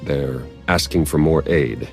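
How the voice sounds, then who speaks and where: A man's voice: a bass voice, a little slow, vocal fry, full character voice. An actor playing a wry, informal male spy and adviser in a science fiction video game. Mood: wry, upbeat. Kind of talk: video game cutscene acting. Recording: loud music under dialogue.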